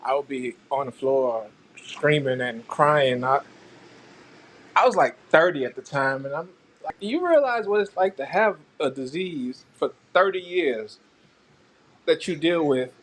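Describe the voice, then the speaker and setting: A man's voice: on the high side, animated, unhurried, a bit bright. A man, giving a personal account as a patient advocate in a panel discussion.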